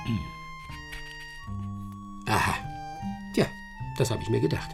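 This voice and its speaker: An actor playing a proud, sharp-witted English master detective in a radio drama, self-assured and lecturing. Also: male voice, lowish voice, expressive, slow, gravelly, music in the background, heavily acted. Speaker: detective Herlock Sholmes.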